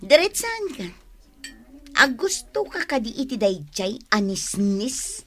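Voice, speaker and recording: A haughty woman from the office in a radio drama. Her voice is high and very animated in pitch. She is curt, bossy and always ready to quarrel. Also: measured pace, full character voice. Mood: irritated. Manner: curt, confrontational. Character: haughty, bossy, quarrelsome female office worker named Grace. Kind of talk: Philippine radio drama acting.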